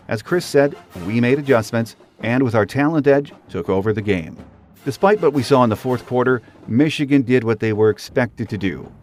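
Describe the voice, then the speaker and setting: A man hosting a sports podcast, reading a scripted monologue in a steady way. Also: fairly low pitch. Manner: steady, read-from-script delivery. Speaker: podcast host.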